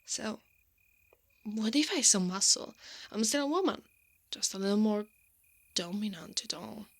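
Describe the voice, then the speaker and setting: A woman playing a brave, protective female knight in an intimate ASMR roleplay, softly and often in a breathy whisper. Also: medium pitch, animated, exaggerated voice. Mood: frustrated, insecure. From Italy. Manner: slow, intimate, hushed delivery.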